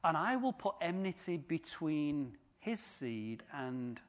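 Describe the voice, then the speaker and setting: A man's voice: on the high side, sing-song, slow pace. A man giving an informal sermon, chatty and joking with the congregation. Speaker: preacher.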